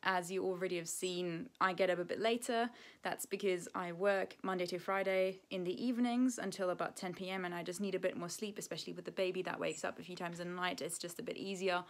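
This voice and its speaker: Young woman vlogger, low voice, a bit of fry, relaxed chatty talk to a handheld camera outdoors. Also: fast talker, few pauses. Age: young adult. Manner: relaxed, chatty, with soft laughs. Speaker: homesteading vlogger. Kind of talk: casual vlog talk to camera. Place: outdoor shelter on a rural homestead.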